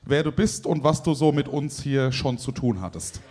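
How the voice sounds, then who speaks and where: A man's voice: fairly high pitch, animated, normal speed, darkish tone. A man hosting a DJ show, talking casually with guests in speech and tagging sentences with "ne?". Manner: chatty, keeps adding "ne?" tags. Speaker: host of a DJ stream show. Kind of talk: casual interview chat.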